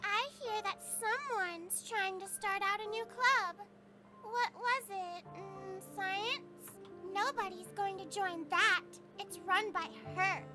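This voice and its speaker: A woman voicing a snide, mocking high school girl who gossips in a visual novel. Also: slow pace. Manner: mocking, dismissive. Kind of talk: visual novel character acting. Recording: music loud behind the voice.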